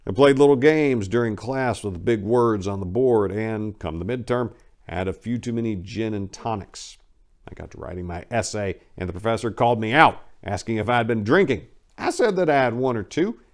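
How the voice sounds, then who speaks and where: Low-voiced man, dramatic storyteller. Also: lively intonation, a little fast. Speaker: host of dramatic book readings. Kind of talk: dramatic first-person memoir reading with commentary.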